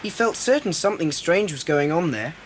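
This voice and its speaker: An actress playing a bold, practical child adventurer in a children's audio drama. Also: female voice, sits low, expressive, a little fast, slight background noise, rough recording. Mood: grave, resolute.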